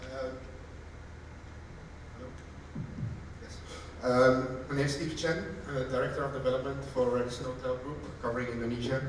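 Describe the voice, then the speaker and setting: A man introduces himself on a panel, sounding tentative and halting with fillers. His voice is mid-pitched and far from the mic. Heavy hall echo and background noise surround him. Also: level tone. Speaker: panellist, director of development.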